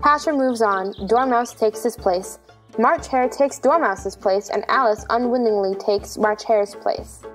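Female narrator for a dramatized play, slightly high register, scripted delivery. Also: measured pace, faint music behind. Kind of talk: scripted narration of stage directions.

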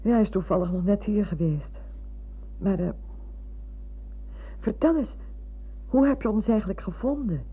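Husky, higher-voiced chatty elderly parent. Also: male voice, expressive, normal speed, pauses often. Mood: curious, reminiscing. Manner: gossipy, meandering. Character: chatty, opinionated elderly parent reminiscing about family. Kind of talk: Dutch radio play acting. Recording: muffled phone-like old radio recording.

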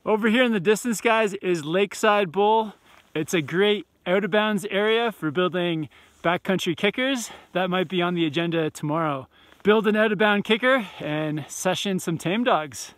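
A man's voice: sits high, fairly bright tone, no room sound. A young man casually narrating a snowboard vlog outdoors on a snowy mountain, talking to viewers into an arm's-length action camera. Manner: upbeat, addresses viewers as "guys", whoops. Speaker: snowboard vlogger. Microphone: action camera held at arm's length.

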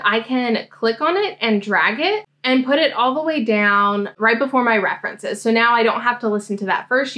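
A young American woman giving a casual software tutorial, explaining things step by step. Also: fairly high pitch. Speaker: host, academic coach demonstrating software. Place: indoor room, seated at a desk.

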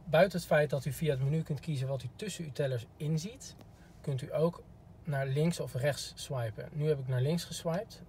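A man's voice: on the high side, normal speed, few pauses, darkish tone. A man giving a polite, informal instructional demo as a car product expert, recorded inside a parked car. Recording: clear background noise.

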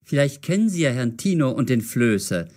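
A man's voice: medium pitch, big pitch swings, fairly quick, a little mellow, close to an everyday voice. An actor playing an eager, earnest teenage boy sleuth in an audio drama. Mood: earnest bluffing. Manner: earnest, protesting. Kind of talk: audio drama for language learners.

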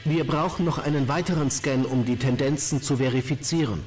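A man's voice: mid-range voice, fairly quick, a little mellow, soft background music, close to an everyday voice. A man voicing a precise, emotionless artificial intelligence analyst in a sci-fi video game. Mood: neutral, analytical. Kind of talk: video game dialogue acting.

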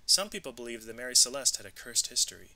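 Very bright, forceful man reading aloud. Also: medium pitch, quick pace. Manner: expressive, clearly emphasised reading. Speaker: read-aloud narrator. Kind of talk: educational storybook narration with vocabulary asides.

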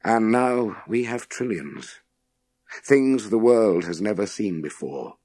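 Man as a wry, deliberate ex-astronaut. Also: fairly low pitch, animated, a little mellow, no room sound, clean studio sound. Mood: probing, urgent. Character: world-weary, wry, authoritative male former astronaut.

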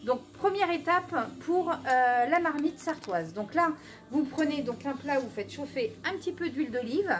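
A middle-aged woman hosting a casual cooking demonstration in a small shop, chatty, filling every pause with "so" and "of course". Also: sits high, expressive. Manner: chatty, keeps saying "donc" and "bien sûr". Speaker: cooking show host.

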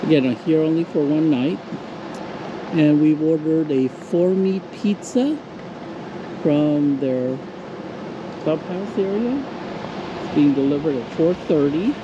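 A middle-aged man talks slowly and casually into an action camera held at arm's length outdoors. His delivery is unhurried and chatty, and the sound is a little dull, with steady noise behind him. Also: a little high. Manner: chatty, walking viewers through the campsite. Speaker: vlogger. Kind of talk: casual travel vlog. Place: outdoors at a campground beside a trailer. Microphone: action camera on a short pole at arm's length.

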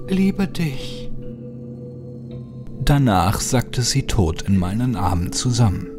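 A man's voice: on the low side, normal speed. A man reading scripted horror audiobook narration as the storyteller. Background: music.

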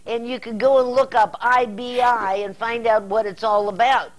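Older American female TV host, higher register, folksy and halting, casual studio interview. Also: fairly even intonation, poor audio. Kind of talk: casual talk-show interview. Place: indoor TV studio set.

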